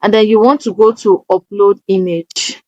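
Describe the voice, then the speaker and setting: A woman's voice: medium pitch, steady tone, normal speed, darkish tone, no room sound, rough recording. A woman presenting a casual step-by-step tutorial, instructive and often checking that the listener is following.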